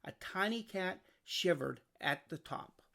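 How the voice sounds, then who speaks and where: A storybook narrator reading aloud for children. The voice sits at a medium pitch and has clear vocal fry in it. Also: lively intonation, a little slow, a bit bright. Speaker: storybook reader.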